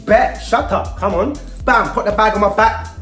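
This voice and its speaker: A man's voice: sits high, normal speed. London man telling street stories, roomy echo. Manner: animated, emphatic, acting out dialogue. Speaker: storyteller and youth mentor. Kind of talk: street-slang personal storytelling aimed at young people. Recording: roomy echo with faint music underneath.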